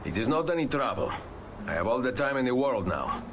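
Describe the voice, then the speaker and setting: A man's voice: medium pitch, very animated, brisk, noisy background, lightly acted. An actor playing a suave, courteous, flirtatious Italian nobleman in a video game, with an Italian accent. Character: smooth, flirtatious, self-assured Italian nobleman in his prime. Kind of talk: video game cutscene acting. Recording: music behind game dialogue.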